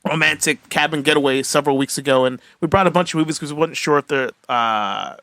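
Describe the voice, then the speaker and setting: A man's voice: a little high, fast talker, a bit bright, dry recording. A man co-hosting a podcast, chatting casually and telling informal anecdotes in which he acts out bits of conversation. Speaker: podcast co-host. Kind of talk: casual chat.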